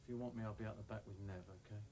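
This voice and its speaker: An actor playing an easygoing, reluctant husband with an offhand, hesitant manner. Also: male voice, fairly low pitch, fairly even intonation, very fast, lightly acted. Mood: resigned.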